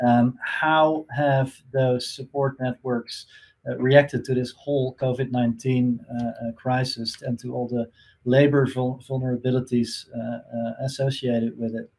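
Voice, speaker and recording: A man's voice: mid-range voice, darkish tone, rough recording. Male moderator posing questions. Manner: hesitant questions with fillers. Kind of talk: webinar moderation. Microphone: video call.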